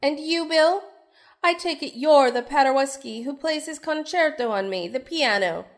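A woman's voice: high voice, expressive, normal speed. A woman playing a proud, jealous, middle-aged stage actress with biting sarcasm in a theatrical radio drama style. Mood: indignant. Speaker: voice actor in an audio drama. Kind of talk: theatrical old-fashioned radio drama acting.

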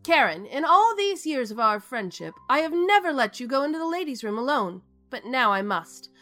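A woman acts out a mature stage diva with a high, swooping voice and a bright, biting edge. She is sardonic and grandly dramatic, in radio play style, with faint music behind her. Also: sing-song, moderate pace. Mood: wry. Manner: sardonic, declamatory. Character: theatrical sharp-tongued mature stage actress, biting and dramatic. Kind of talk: audio drama acting.

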